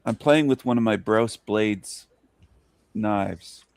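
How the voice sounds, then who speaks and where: A man's voice: fairly low pitch, slow pace, vocal fry, a little mellow, smooth, no room sound. A man in casual chat on a live stream, talking in a rambling, provocative way.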